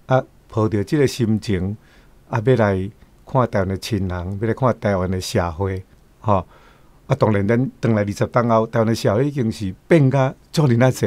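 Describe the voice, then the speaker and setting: A man's voice: fairly low pitch, darkish tone, no echo. Older Taiwanese man, dry close sound. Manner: conversational, punctuates with tag particles. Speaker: guest commentator. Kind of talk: informal literary commentary.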